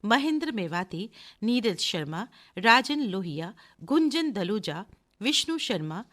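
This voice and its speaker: A woman's voice: medium pitch, very animated, measured pace, a bit bright. A woman radio announcer reading closing credits in a formal, scripted broadcast style.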